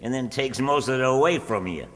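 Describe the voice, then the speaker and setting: A self-important country schemer played by a male actor, folksy and insistent, with a medium-pitched voice. It is old-time radio comedy, heard in a reverberant hall. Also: lively intonation, brisk, a bit bright, close to an everyday voice. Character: Lum, scheming, self-important country businessman, patient explainer. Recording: reverberant hall stage performance.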